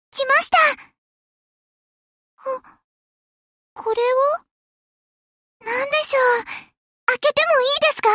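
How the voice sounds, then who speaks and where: An extremely high, childlike little warship girl from an anime game. She speaks slowly and politely, with a sweet, earnest eagerness to serve and a touch of hesitation. The recording is close and dry. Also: a bit bright, dry recording, heavily acted. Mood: eager, polite. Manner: polite, dutiful, slightly hesitant. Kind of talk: Japanese anime game voice lines.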